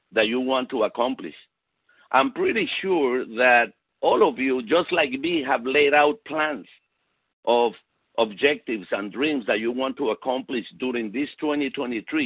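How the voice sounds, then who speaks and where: A man's voice: mid-range voice, slightly rough, no room sound. A man with a Latin American Spanish accent giving an emphatic motivational business talk over a conference call, repeating key phrases for weight. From South America and Puerto Rico. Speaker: motivational sales speaker, executive consultant. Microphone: phone conference call. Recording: tinny narrow phone-line sound.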